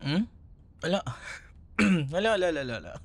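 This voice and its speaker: A man's voice: fairly high pitch, sing-song, fairly quick, breathy edge, full character voice. A man with a Filipino accent playing a young, caring, teasing ex-boyfriend in a casual, hesitant ASMR roleplay conversation. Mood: flustered, hesitant. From Philippines. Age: young adult.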